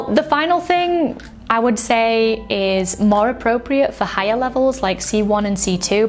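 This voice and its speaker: A young woman with a light Yorkshire accent, talking casually as an English teacher in an explainer video, with frequent "like" and "um" fillers. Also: medium pitch.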